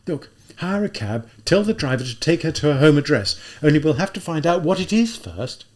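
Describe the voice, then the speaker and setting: A man's voice: fairly high pitch, big pitch swings, a little fast, pitched above the performer's own voice. A man doing an agitated, feverish young man. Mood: urgent. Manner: tense and urgent.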